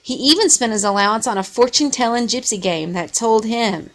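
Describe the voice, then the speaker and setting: A woman reading a children's story aloud in an expressive way. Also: a little high, fairly quick. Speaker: storybook reader.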